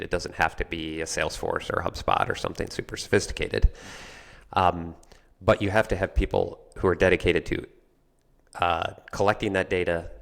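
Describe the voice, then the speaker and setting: Very deep-voiced man explaining conversationally. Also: creaky, fairly bright tone. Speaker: podcast guest explaining marketing strategy.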